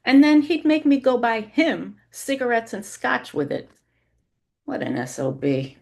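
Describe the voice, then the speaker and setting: A woman's voice: a little high, big pitch swings, a little slow, gravelly, darkish tone, voice pushed up, heavily acted. An older mother played by a woman reader holds forth on the phone in a story. She is brash, blunt and wrapped up in herself, talking over others, with a voice that rises often. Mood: complaining. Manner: brash, monologuing, interrupting. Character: self-absorbed, chatty, blunt aging mother on the phone.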